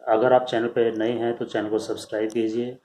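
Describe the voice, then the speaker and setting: An Indian man hosting a news channel, giving unscripted commentary in a halting way, often tagging his sentences with a short filler word. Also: mid-range voice, level tone, a little mellow, a little hiss or hum, rough recording. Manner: halting, repeatedly says "okay" as a tag.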